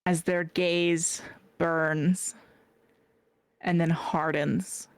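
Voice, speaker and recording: Woman GM narrating a tabletop game, very slow and deliberate, dry close mic. Many fillers. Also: fairly low pitch, level tone, smooth, no echo. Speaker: game master.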